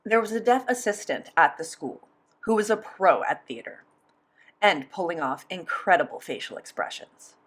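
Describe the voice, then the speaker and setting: A woman's voice: lowish voice, expressive, moderate pace. Woman voicing a signed interview. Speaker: sign language voice interpreter.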